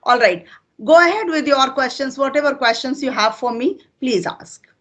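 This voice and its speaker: Retired Indian teacher, older woman, Hindi native, patient and unhurried, slightly higher voice. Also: animated, fairly bright tone. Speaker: retired teacher and channel host. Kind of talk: casual interview conversation.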